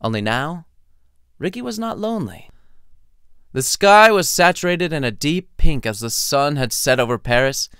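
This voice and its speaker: A man's voice: a little high, lively intonation, moderate pace, a bit bright, smooth, no room sound, clean studio sound. A man reading his own short story as scripted audiobook narration, with a wry, playful storytelling manner.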